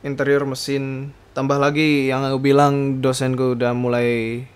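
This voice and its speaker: A man's voice: mid-range voice, monotone, normal speed, clear, resonant tone. A man hosts a casual, rambling solo podcast, hesitantly searching for words and slipping in slang and English. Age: 20s. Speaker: podcast host.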